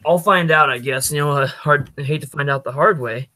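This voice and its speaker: A man's voice: a little high, a little fast, bright, forceful tone, dry recording. A man hosting a casual livestream, talking off the cuff and thinking aloud as he goes. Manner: off-the-cuff, thinking aloud while reading chat. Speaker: livestream host.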